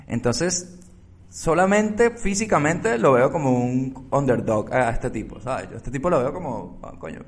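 Joking podcast host. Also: male voice, medium pitch, lively intonation, moderate pace. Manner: joking, show-host asides to listeners. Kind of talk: casual film chat.

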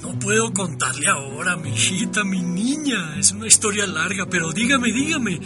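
A loving Colombian father in his fifties, in a radio drama. His voice is extremely high and plaintive, tender and a little bewildered, with music behind it. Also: expressive, moderate pace. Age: 50s. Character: loving, tearful fifty-year-old Colombian father, bewildered.

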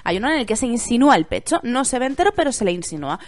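A woman from Spain hosting a casual radio chat show, hoarse from a sore throat and playful, often laughing. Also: fairly high pitch, big pitch swings, rapid-fire. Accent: Spanish from Spain. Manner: playful, giggly, chatty. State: hoarse from pharyngitis. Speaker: podcast host.

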